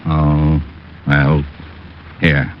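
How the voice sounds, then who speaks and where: A man's voice: a bass voice, completely flat delivery, very slow, heavily acted. An actor playing an exasperated, defensive male police officer in a 1940s radio drama. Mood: grudging. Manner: defensive, easily flustered. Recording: dull, noisy old radio recording.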